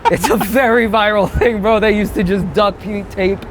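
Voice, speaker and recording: Higher-voiced man telling rambling stories. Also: fairly even intonation, normal speed, background noise. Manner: rambling storyteller with swearing. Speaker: comedian guest. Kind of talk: casual street chat. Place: outdoors on a city street.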